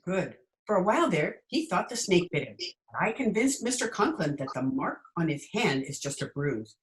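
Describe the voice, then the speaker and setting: A woman playing a dry, sardonic, quick-witted schoolteacher in old-time radio comedy style. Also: fairly low pitch, big pitch swings. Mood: soothing, wry. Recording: noisy remote video call with clicks.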